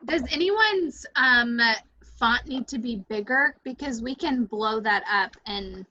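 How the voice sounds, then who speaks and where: Bright-voiced woman on muffled call audio. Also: on the high side, lively intonation, a little slow, a little hiss or hum. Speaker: event coordinator. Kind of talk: casual group video-call chat.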